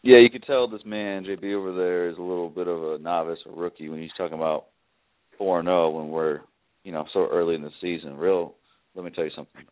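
Deep-voiced brash man trash-talking. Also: steady tone, fast talker, no echo. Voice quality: bass-heavy voice. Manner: brash, teasing trash talk. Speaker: show regular. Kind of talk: casual sports banter. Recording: dry tinny phone-like line.

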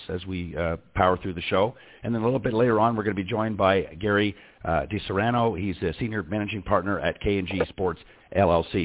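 A very low-voiced man hosts a talk radio show, his voice gravelly and hoarse. He chats in a friendly way, full of fillers, and comes through a thin phone-like line. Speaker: show host. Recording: tinny phone-line audio.

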